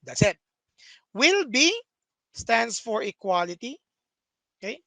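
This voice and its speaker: Young guy teacher walking thru math steps slowly, gravelly bright voice, dry close sound. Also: very high voice, very animated, no echo. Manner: patient step-by-step explaining with frequent "okay". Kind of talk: recorded screen-share math lesson.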